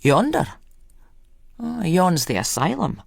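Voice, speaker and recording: An old Scottish countryman, acted by a woman storyteller, proud and a little cracked, with a gravelly voice that swoops around. He talks in folksy dialect with matter-of-fact pride, as in a dramatic audio story. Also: on the low side, sing-song, takes it slowly, no room sound, clean studio sound, voice pushed up, exaggerated voice. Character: old rustic male hedger, proud, eccentric asylum leaver.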